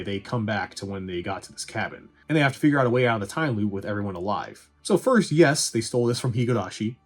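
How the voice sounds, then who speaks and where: A man's voice: fairly low pitch, slightly rough. A man giving a casual, opinionated movie review in a wry, sarcastic, conversational way. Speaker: film reviewer and host.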